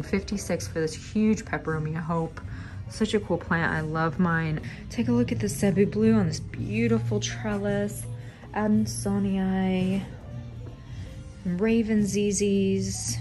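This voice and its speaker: A woman's voice: mid-range voice, darkish tone, poor audio. A woman in her thirties, a plant vlogger, gushing with enthusiasm as she narrates a walk through an indoor plant shop. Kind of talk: casual shop-along narration. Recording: background music under voice.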